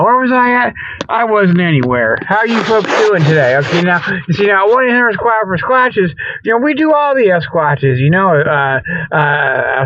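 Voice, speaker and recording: A man's voice: high-pitched, fairly bright tone, no room sound. A man hosting a comedy radio show with a rambling, folksy, colloquial monologue, given to chuckling at his own jokes. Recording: dull lo-fi radio audio.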